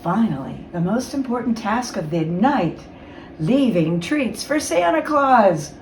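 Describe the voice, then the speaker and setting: A woman reading a children's storybook aloud as a guest reader, with expressive, dramatic storytelling. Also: a little high, a little slow. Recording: roomy, distant mic, faint noise.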